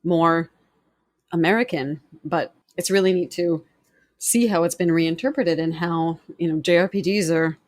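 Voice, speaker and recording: A woman chatting on a podcast panel about game music, upbeat and quick to agree. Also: on the low side, darkish tone, no echo, clean studio sound.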